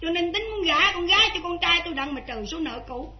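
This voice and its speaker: Nasty melodrama mother-in-law, southern vietnamese accent, really high voice, scolds and wails, muffled old recording. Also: expressive, brisk, crowd noise. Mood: aggrieved, self-justifying. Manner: shrill, scolding, wailing, melodramatic. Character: spiteful, bullying Vietnamese mother-in-law, middle-aged or older. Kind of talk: Vietnamese stage melodrama acting. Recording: dull lo-fi old drama recording.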